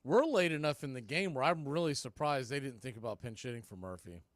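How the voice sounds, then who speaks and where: A man's voice: animated, brisk, dry recording. Man calling baseball play-by-play, close dry sound. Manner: clipped phrases between pitches, with brief asides. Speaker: baseball play-by-play announcer and show host. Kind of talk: live baseball radio play-by-play commentary.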